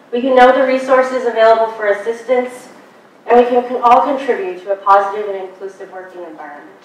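A young woman giving a prepared commencement speech in a measured, earnest way, speaking at a podium in a large lecture hall. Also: mid-range voice. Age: young adult. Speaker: graduate student commencement speaker. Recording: distant, very echoey hall, faint noise.

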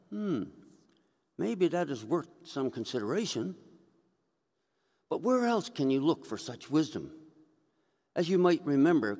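A man's voice: fairly high pitch, darkish tone. An older man, a priest, giving a conversational sermon at a lectern microphone and telling stories as he preaches. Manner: conversational storytelling, gesturing as he speaks. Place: church sanctuary, indoors. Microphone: gooseneck lectern mic. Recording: reverberant church hall.

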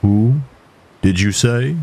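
A man's voice: fairly low pitch, takes it slowly, gravelly, a little mellow, voice pushed up, heavily acted. A man voicing a weary, evasive, intense doctor with a musing manner in a horror story. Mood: dark, musing.

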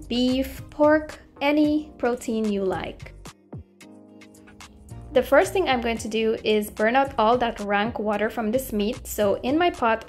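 A woman's voice: a little high, darkish tone. A woman cooking host narrating a recipe voice-over in a friendly, polite way. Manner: friendly, polite, appreciative greetings to viewers. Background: background music.

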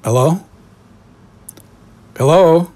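A man's voice: a little high, drawn-out words, noisy background. A man hosting a mock podcast interview in a conversational way, polite and a little hesitant.

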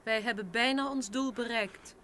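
An actress playing a calm, unemotional woman under alien control in an old radio drama. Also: on the high side, moderate pace, slight background noise. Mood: coldly resolute. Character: cold alien-controlled woman, Matt's lost wife. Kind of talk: 1970s radio drama acting.

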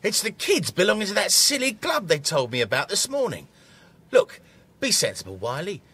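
An actor with a British accent playing a big, bullying, menacing thief who barks orders in a children's audio drama. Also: male voice, high voice, huge pitch swings, normal speed, bright, forceful tone, slightly rough, exaggerated voice. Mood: impatient. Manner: domineering, barking orders. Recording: faintly noisy cassette audio drama.

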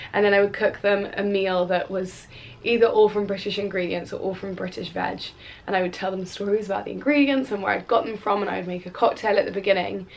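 Young female vlogger, congested from a cold, chatty and rambling, a bit of vocal fry, talking to a camera in her bedroom. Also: mid-range voice, a little hiss or hum. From Wales. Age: 20s. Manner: chatty, rambling with fillers and restarts. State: stuffy nose from a cold. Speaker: cook and influencer. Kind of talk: casual Q&A vlog to camera. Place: bedroom at home, indoors. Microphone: camera on a tripod in front of her.

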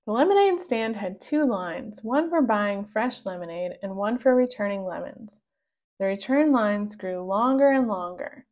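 Slow-paced woman reading a children's story. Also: medium pitch, lively intonation, lo-fi sound. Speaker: storybook reader. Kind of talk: children's read-aloud narration, expressive.

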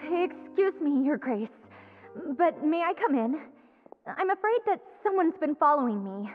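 A woman's voice: high voice, sing-song, measured pace, narrow, tinny audio, soft background music. An actress playing a frightened, polite young woman in a video game, deferential and pleading. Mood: timid, anxious. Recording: echoing hall reverb.

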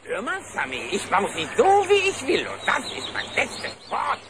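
Cantankerous bear handyman for cartoon radio play: impatient, stubborn, hugely animated delivery. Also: high-pitched, moderate pace, exaggerated voice. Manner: gruff, impatient. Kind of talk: cartoon audio drama acting. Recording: loud background noise.

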